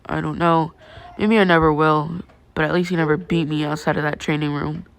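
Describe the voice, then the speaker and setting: Lady doing a quiet stoic teen boy, lowish, flat and a bit awkward, storybook read. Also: a little fast, vocal fry, no room sound. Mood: resigned. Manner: flat, quiet, hesitant sincerity. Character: subdued, awkward teenage boy, stoic and sincere.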